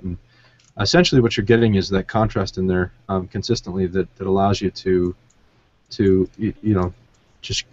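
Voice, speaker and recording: A man hosting a casual podcast discussion, halting as he searches for words. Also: fairly low pitch, darkish tone, lo-fi sound. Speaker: podcast host.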